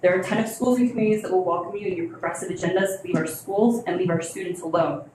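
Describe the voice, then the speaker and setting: A woman's voice: lowish voice, quick pace. Indignant woman at a public meeting. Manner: combative, indignant, emphatic. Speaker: public commenter. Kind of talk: public comment at a board meeting. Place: school board meeting room. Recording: echoey meeting room with clear hiss.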